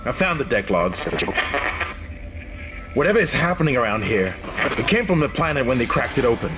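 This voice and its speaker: An actor playing an urgent, commanding military officer on a doomed spaceship in a video game, giving clipped briefings. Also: male voice, mid-range voice, big pitch swings, fairly quick, noisy background, lightly acted. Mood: grave.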